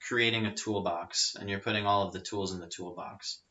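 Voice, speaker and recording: A man with a lowish, bright, crisp voice talks easily as a podcast guest. He explains things at an easy pace with lots of casual fillers. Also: fairly quick. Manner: relaxed, explanatory, with frequent fillers. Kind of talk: casual chat. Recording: rough lo-fi audio.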